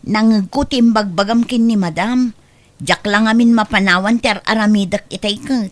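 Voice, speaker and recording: A woman's voice: medium pitch, normal speed, close to an everyday voice. A woman with a Filipino accent playing a reproachful, indignant household servant in an audio comedy drama. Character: indignant female servant defending her good name. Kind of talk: Ilocano comedy radio-style drama acting.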